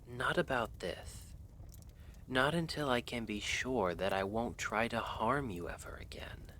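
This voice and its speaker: A man playing a brooding, devoted assassin in an intimate ASMR roleplay, speaking softly and formally, often in a half whisper. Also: medium pitch, a little slow, a little hiss or hum. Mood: troubled. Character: lovestruck male assassin, brooding, earnest, devoted.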